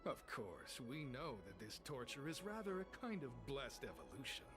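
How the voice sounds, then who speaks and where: An actor playing a smooth-talking, devout cult recruiter in a video game, speaking in a formal, persuasive and grandiose manner. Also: male voice, mid-range voice, big pitch swings, moderate pace. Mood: secretive, preaching. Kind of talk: scripted video game dialogue. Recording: game music behind the voice.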